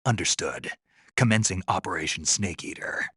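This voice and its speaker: Gruff raspy game soldier guy, real low voice, deadpan n terse, stoic but kinda naive. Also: normal speed, no room sound, clean studio sound, heavily acted. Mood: businesslike. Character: gruff male special forces soldier, stoic, dryly naive. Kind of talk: video game voice acting.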